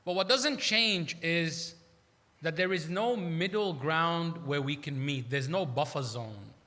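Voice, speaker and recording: Male preacher from Iraq, higher-pitched bright voice, emphatic conversational sermon delivery in a reverberant hall. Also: slow pace. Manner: conversational, emphatic, uses rhetorical questions. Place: large hall at an Islamic centre. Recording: echoey hall with long reverb.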